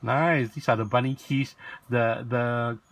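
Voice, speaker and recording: A man with a raspy voice, talking casually and rambling as he shows off a toy in a video. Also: mid-range voice, steady tone, unhurried, dry recording. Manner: rambling, self-correcting, lots of fillers. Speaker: toy blogger and vlog host.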